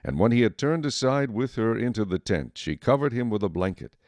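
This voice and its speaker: Male narrator, low pitch, expressive range, solemn storytelling, scripted Bible dramatization, dry studio-like sound. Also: a little fast, no room sound.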